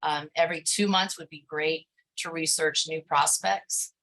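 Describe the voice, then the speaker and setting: Bright-voiced woman panelist, halting. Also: low voice, steady tone, low-quality recording. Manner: hesitant, many fillers. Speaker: grant writer, panelist. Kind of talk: panel discussion on video call.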